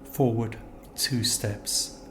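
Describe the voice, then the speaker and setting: A man narrating a scripted literary story in a measured, reflective manner. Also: mid-range voice, fairly even intonation, background noise. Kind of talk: scripted literary narration of location-based stories.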